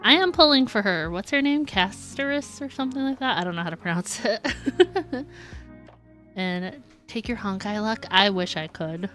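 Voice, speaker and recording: A woman's voice: mid-range voice, moderate pace, fairly bright tone, faint music behind. A woman livestreaming a video game, chatting casually and informally with viewers in a giggly, easygoing way. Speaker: live streamer. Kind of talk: casual gaming livestream chat.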